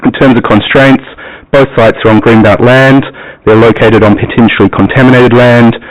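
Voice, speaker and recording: A man with a New Zealand accent presenting a planning application to a council committee, pausing often with ums as he explains. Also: medium pitch, fairly even intonation. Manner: hesitant, many ums, plain explanatory. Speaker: planning officer. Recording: muffled, lo-fi broadcast audio.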